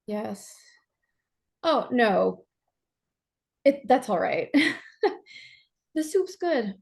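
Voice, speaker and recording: A woman acting a dazed, fragile young woman in a staged play reading, halting and repeating herself nervously. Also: a little high, very animated, gravelly, darkish tone, heavily acted. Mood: apologetic, awkward. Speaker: actor.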